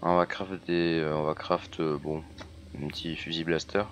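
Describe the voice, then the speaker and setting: Low, hoarse man talking through gameplay. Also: little pitch movement, moderate pace, slight background noise. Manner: chatty, many fillers and restarts. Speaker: gaming YouTuber. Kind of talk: casual let's-play commentary.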